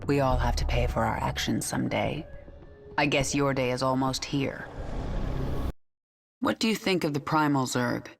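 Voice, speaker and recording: A woman's voice: a bass voice, moderate pace, pauses often. An actress playing a vengeful, defiant queen of an alien swarm in a video game, commanding, sardonic and resolute. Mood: sardonic challenge. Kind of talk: video game cinematic acting. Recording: music clearly behind the voice.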